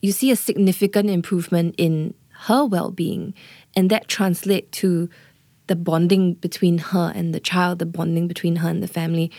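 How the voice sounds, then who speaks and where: A woman's voice: on the low side, fairly even intonation, normal speed, mellow, no room sound. A woman working as a doula, explaining birth in an earnest interview manner and often checking in with "right?" and "you know". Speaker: doula, guest.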